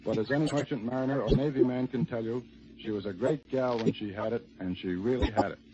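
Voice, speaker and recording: A man's voice: medium pitch, fast, crowd noise. An American man giving a scripted 1940s radio war report in a wry, dryly humorous manner. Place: on an airfield flight line. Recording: noisy, muffled 1940s radio broadcast.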